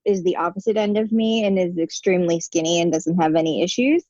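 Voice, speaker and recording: A woman's voice: on the low side, brisk, darkish tone, dry recording. Woman, late twenties, from the United States, candid interview guest, dull lo-fi call audio. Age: 20s. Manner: candid, conversational with frequent restarts. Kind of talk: casual interview conversation. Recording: dull lo-fi remote call sound.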